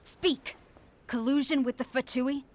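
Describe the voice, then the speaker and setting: A woman's voice: high-pitched, fairly even intonation, a little slow. Stern, proud woman military commander. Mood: angry, commanding. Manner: commanding, formal. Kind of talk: anime game voice acting.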